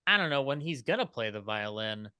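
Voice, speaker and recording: A man's voice: medium pitch, very animated, brisk, bright, forceful tone, clear, resonant tone, dry recording, clean studio sound. A man chatting casually as a panelist, rambling and repeating words as he works out his point.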